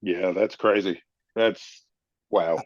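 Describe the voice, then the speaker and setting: A man's voice: deep voice, steady tone, slow, no room sound, dull audio. A man co-hosting a podcast, giving short agreeing replies. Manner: brief backchannel replies. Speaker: podcast co-host. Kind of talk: casual podcast chat.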